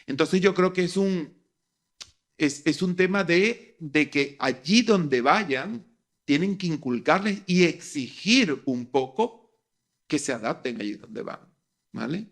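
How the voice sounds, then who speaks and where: A man's voice: fairly high pitch, lively intonation, takes it slowly. A middle-aged man with a Venezuelan accent giving his opinion conversationally, repeating phrases for emphasis.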